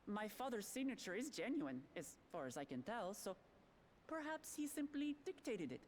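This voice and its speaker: A woman's voice: medium pitch, big pitch swings, normal speed, a little mellow, a little hiss or hum, close to an everyday voice. A woman playing a confident, cheerful, candid young princess in a video game, speaking in an articulate, breezy way. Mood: explaining, candid. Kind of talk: video game cutscene voice acting.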